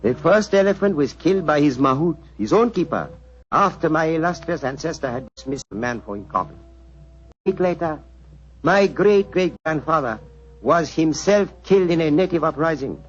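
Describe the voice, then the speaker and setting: A suave Indian prince, played by a male actor, with a slightly high voice that rises and falls widely. He is courtly and formal, choosing ornate, deferential phrases in 1940s radio-drama style. The sound is dull, as on an old broadcast. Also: lively intonation, moderate pace, slight background noise, lightly acted. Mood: grave storytelling. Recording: muffled 1940s radio recording.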